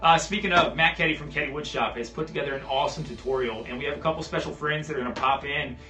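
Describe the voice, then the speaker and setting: Joking guy doing an intro, higher voice, roomy, music behind. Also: fast, sharp tone. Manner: joking, upbeat. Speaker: guest host. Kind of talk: casual video intro.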